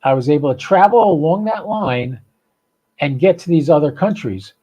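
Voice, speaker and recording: A man's voice: on the high side, animated, moderate pace, mellow, breathy edge, highs cut off. A man hosting a hobby podcast, chatting informally and thinking aloud in a rambling live-stream style. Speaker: amateur radio podcast host.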